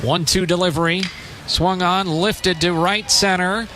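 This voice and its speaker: An American man doing live radio play-by-play of baseball in a measured, descriptive way, with short phrases and pauses. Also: high-pitched, steady tone, noisy background. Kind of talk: live radio sports commentary.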